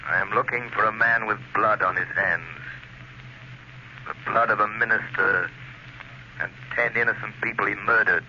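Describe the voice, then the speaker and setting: Unseen avenger, very sharp cutting tone, low pitch, taunting, ominous, old radio drama recording. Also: male voice, steady tone, moderate pace, long pauses. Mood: mocking menace. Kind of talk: 1930s radio drama acting. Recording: muffled, noisy 1930s radio recording.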